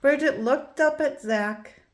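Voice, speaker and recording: A woman reading a children's picture book aloud, expressive and storytelling. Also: high-pitched, slow. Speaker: read-aloud host.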